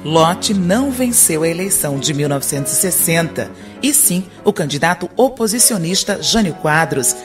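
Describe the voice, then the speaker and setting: A woman narrating a radio drama in a steady, informative way. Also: lowish voice, normal speed, few pauses, music in the background.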